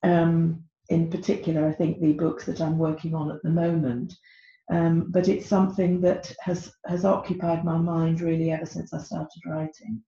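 Low, husky-toned British woman author. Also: level tone, moderate pace, dark tone. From Britain. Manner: hesitant, many ums and uhs. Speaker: author guest. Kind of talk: podcast interview. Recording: echoey, dull lo-fi sound.

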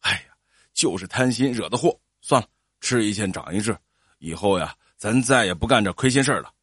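A man's voice: fairly low pitch, lively intonation, fairly quick, a bit bright, husky, no room sound, studio quality, voice pushed down. A man voicing a hapless, scheming farmer who stammers, sighs and pleads nervously, in a storytelling performance. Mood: grumbling.

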